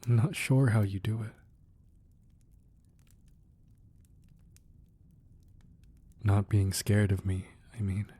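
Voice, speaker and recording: A man playing a protective, ruthless crime boss who is tender with his wife, speaking softly and earnestly in an intimate romantic ASMR roleplay. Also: lowish voice. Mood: tender, brooding. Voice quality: soft, husky, breathy. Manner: earnest, measured, firm yet affectionate.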